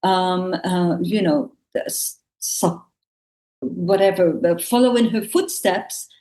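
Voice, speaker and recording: A woman's voice: fairly low pitch, takes it slowly, dark tone. A woman from Lebanon, speaking reflectively as a panel guest and often searching for words. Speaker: theatre artist and actress, panel guest. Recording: echoey room sound.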